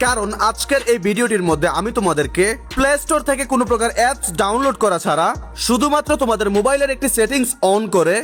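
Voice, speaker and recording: A man's voice: very high-pitched, fairly bright tone. A man narrating a gaming tutorial, speaking directly to viewers in an instructional way. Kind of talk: energetic scripted tutorial narration. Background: music.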